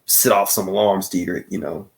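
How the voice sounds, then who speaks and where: A man co-hosting a podcast, explaining things casually and haltingly with many fillers. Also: deep voice, fairly even intonation, vocal fry. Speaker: podcast co-host. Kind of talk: casual discussion.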